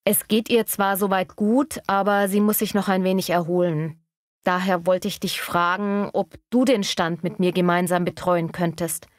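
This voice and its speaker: A woman playing a friendly publishing employee in a scripted language-lesson dialogue, speaking courteously and with clear enunciation. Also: fairly low pitch, steady tone, moderate pace, no echo, studio quality, natural voice. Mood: friendly, asking.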